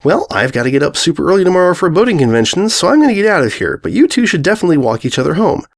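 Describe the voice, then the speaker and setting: Excitable, meddling party mare acted by a man, pitched up, chatty and playful, storybook cartoon. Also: very fast, gravelly, dry recording, studio quality, heavily acted.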